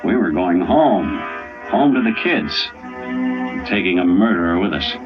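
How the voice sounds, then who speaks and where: A man's voice: low-pitched, animated, unhurried. An actor playing a weary, dogged family man in an old-time radio drama, with brooding, hard-boiled delivery. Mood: tense, grim. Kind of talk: old-time radio first-person narration and acting. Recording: dull old radio recording. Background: dramatic music.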